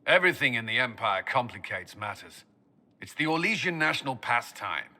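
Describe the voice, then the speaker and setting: A dry army commander. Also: male voice, mid-range voice, very animated, moderate pace, bright, forceful tone. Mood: steady. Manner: firm, dry, matter-of-fact. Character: dry male army commander, steady and direct. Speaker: military commander in a fantasy game. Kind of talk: video game dialogue acting.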